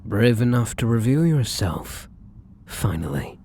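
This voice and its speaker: A man playing a defiant, protective vampire in an ASMR roleplay, with husky, breathy delivery and theatrical, formal phrasing. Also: medium pitch, big pitch swings, unhurried, mellow, slight background noise. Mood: cold, guarded. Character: defiant, protective young male vampire, bitter and menacing. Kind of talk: ASMR audio roleplay acting.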